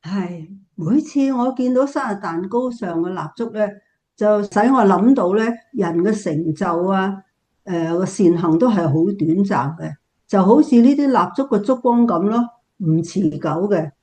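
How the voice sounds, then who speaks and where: A woman playing an affectionate, reflective grandmother in a Cantonese radio drama, speaking gently. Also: medium pitch, animated, moderate pace, lightly acted.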